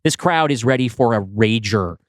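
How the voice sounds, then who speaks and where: Man, podcast co-host, reading his own script, animated, lively pitch, dry sound. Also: moderate pace, dry recording. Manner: animated storytelling with fillers and restarts.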